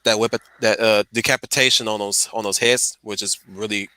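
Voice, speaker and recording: A man's voice: lowish voice, steady tone, fairly quick, a crowd in the background, poor audio. A man chatting casually on a stream in an emphatic, slangy way, often repeating his phrases. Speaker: stream host. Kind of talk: casual chat about films.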